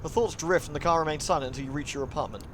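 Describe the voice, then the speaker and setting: A man's voice: fairly high pitch, rapid-fire, a little hiss or hum. A man streaming a visual novel, reading the narration aloud dramatically and breaking into playful, chatty asides to his viewers. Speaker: live streamer.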